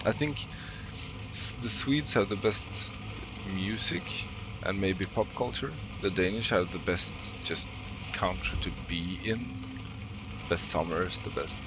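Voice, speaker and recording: A young Norwegian man with a Norwegian accent, chatting casually and laughing with his stream while he plays. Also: low-pitched. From Norway. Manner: chatty, laughs often, talks to chat. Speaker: game streamer. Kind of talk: casual live-stream chat while playing. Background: game sounds.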